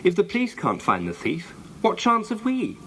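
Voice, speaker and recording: A man's voice: high-pitched, huge pitch swings, normal speed, a bit bright, slight background noise, pitched above the performer's own voice, exaggerated voice. A man voicing a doubtful, argumentative schoolboy in a children's storybook, persistently questioning. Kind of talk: children's storybook character reading.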